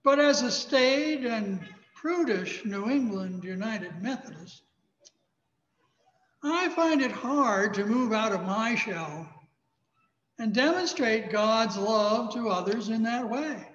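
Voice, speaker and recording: A man in his sixties with an American accent, a pastor preaching a sermon in a measured, emphatic way. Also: high voice, animated, a bit bright. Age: 60s. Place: large church sanctuary, indoors. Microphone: thin headset microphone. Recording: echoey church hall, slightly dull sound.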